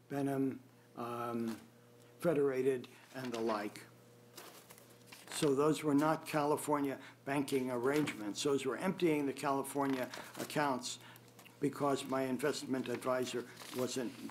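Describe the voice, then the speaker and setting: An older man giving formal, unscripted testimony at a public hearing, speaking in a halting, hesitant way with frequent pauses. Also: medium pitch, a little hiss or hum. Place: government board meeting room, indoors. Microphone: gooseneck table microphone.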